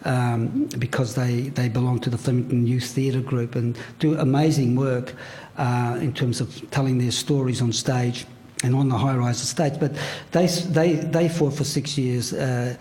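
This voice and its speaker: An older man from Australia talks through his memories in a halting way, full of "uh"s and repeated starts. His voice sits in a middle pitch. It is a casual panel talk, recorded at a public venue. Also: steady tone, mellow. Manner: halting, many fillers and restarts. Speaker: panel guest, writer.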